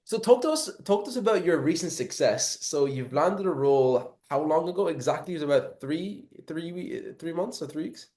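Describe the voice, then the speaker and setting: A man's voice: on the high side, expressive, moderate pace, hardly any pauses, a little mellow, roomy sound. A man hosting a casual interview, eagerly asking several questions in a row.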